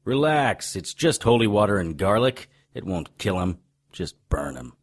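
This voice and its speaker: A hard-boiled occult detective in a noir audio drama. His voice sits very low and rough, with wide swings in intonation. He is wry, deadpan and sarcastic. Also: normal speed.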